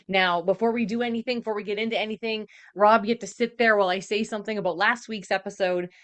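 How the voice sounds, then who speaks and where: A woman's voice: medium pitch, steady tone, quick pace. A woman hosting a podcast in a casual, conversational chat style. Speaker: podcast host.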